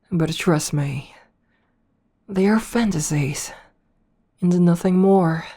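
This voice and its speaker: Woman voicing a vampire princess, noble, predatory, teasing, soft whispers, close mic, mellow dark tone. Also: fairly low pitch. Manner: soft, slow and toying, slipping into whispers. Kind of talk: ASMR audio roleplay.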